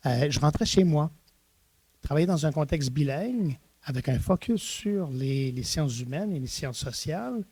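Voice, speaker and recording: A man's voice: a little high, expressive, normal speed, warm tone, slightly rough. A middle-aged man from Eastern Ontario, a university rector telling his story in an interview, with many restarts and hesitations. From Eastern Ontario, Canada. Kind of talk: reflective conversational interview.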